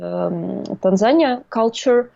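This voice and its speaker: A woman's voice: mid-range voice, lively intonation, slow, creaky, mellow, no echo, dull audio. A young adult woman from Russia with a Russian accent, a guest speaking English as a second language in a casual interview, hesitant with many fillers.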